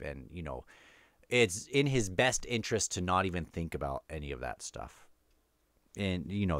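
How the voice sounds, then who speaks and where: A man giving casual read-along book commentary, halting and thinking aloud as he moves between quotes and his own remarks. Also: sits low, fairly bright tone, no echo, clean studio sound. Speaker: book discussion host.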